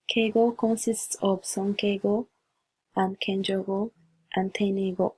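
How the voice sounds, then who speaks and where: A woman's voice: medium pitch, warm tone, husky, rough recording. Japanese-accented woman reading a presentation. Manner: careful, deliberate reading with learner's English. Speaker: student presenter. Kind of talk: read class presentation.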